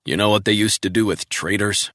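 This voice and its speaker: A grim male agent, voiced by the narrator close to his own voice, cold and terse with clipped questions. The voice is low-pitched and forceful, and the recording is dry. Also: a little fast, dry recording.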